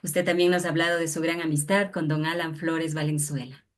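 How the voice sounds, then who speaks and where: A woman's voice: deep voice, steady tone, brisk. A woman hosting an interview in a polite, deferential, conversational way. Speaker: interviewer, host.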